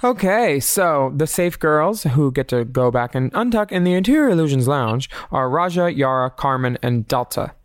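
A man's voice: fairly high pitch, animated, moderate pace, few pauses, soft background music. A man hosting a casual recap podcast, campy and snarky, often laughing at his own jokes. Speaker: podcast host.

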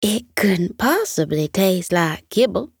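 Kindly storybook lady pig played by a woman, coaxing and earnest, slow, high-swooping lilt. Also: sing-song, breathy edge, no echo, clean studio sound, exaggerated voice. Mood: earnest, pleading.

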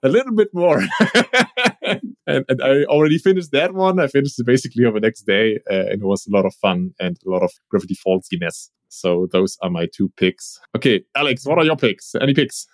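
A man's voice: mid-range voice, fairly quick, no room sound. Chatty man, dry sound. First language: German. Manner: friendly, chatty, laughs easily. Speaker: podcast host. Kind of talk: casual podcast chat.

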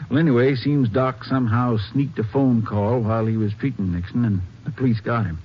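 An actor playing a folksy, easygoing small-town sheriff in a 1950s radio western. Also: male voice, mid-range voice, slightly rough, close to an everyday voice. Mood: explaining. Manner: folksy, drawn-out explaining. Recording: muffled, noisy 1950s radio recording.

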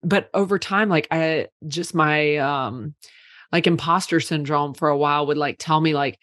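A woman's voice: deep voice, normal speed, no echo, studio quality. A woman in her thirties, a PR company owner, telling stories in a casual podcast chat, full of "like" fillers. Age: 30s. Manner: chatty, many "like" fillers, animated storytelling.

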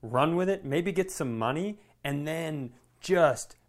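A man who works as a comedian, co-hosting a podcast with animated, exaggerated, sweary storytelling in casual banter. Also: a little high, slow pace.

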